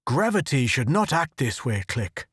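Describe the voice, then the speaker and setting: A burly, swaggering Irish adventurer, as a male storyteller puts him on, who jokes in the face of danger. His Irish lilt stays bluff and teasing, with lively rises and falls. The sound is dry and close. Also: medium pitch, measured pace, husky, no room sound. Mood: gruff. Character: big burly brash Irish adventurer, man.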